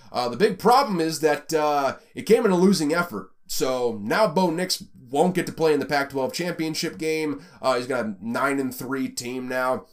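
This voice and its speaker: Rambling Southern American sports host. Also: male voice, a little high. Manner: rambling, filler-heavy, conversational. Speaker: college football podcast host. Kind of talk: casual sports commentary.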